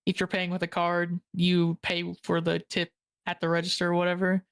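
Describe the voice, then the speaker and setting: A young man co-hosting a stream, talking in a dry, low-key, deadpan way. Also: normal speed, smooth. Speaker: co-host guest. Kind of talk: casual stream chat.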